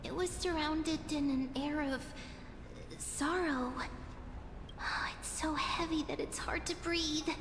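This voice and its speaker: A little childlike creature companion from a fantasy game. The voice is very high and slow, wistful and sensitive. It rings with echo, with music behind it. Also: female voice, steady tone, background noise. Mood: troubled, sorrowful. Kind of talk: scripted video game dialogue. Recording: echoey reverberant game voice over music.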